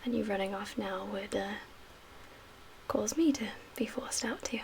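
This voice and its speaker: A woman playing a calm survivor camp leader in a soft-spoken ASMR roleplay, with a breathy, whispery voice and a gentle, measured, hushed manner. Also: medium pitch, close to an everyday voice. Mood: calm, cautioning. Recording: clear background ambient noise.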